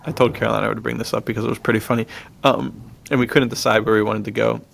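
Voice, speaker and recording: A man's voice: on the low side, level tone, fast talker, vocal fry, fairly bright tone. An American man hosting a podcast, chatting casually and informally about films and telling anecdotes, often chuckling as he talks. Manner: chuckles often while talking; informal, slangy, says "dude" a lot. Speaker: podcast host. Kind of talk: casual film discussion and anecdotes.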